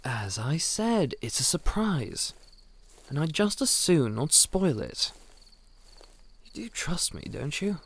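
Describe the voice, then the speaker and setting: A man playing a courteous, loyal royal aide in a fantasy audio roleplay, speaking formally and with measured courtesy. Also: fairly high pitch, big pitch swings, husky, full character voice. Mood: playful, courteous.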